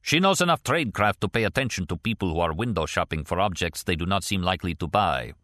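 Man playing an older Russian defector, low and deliberate. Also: expressive, fairly bright tone, no room sound. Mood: proud. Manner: deliberate, formal, precise wording. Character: older Russian defector father, proud, careful, shrewd.

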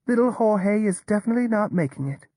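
An actress playing a blunt, world-weary woman who talks in a flat, neutral Texas drawl. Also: mid-range voice, fast talker, no echo, close to an everyday voice. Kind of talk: audio drama performance.

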